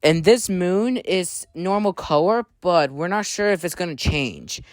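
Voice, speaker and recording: An emergency alert announcer delivers scripted warnings in a medium-pitched voice. The delivery follows the format of an official bulletin. The sound is dry, with very little room around the voice. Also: expressive, unhurried, no echo.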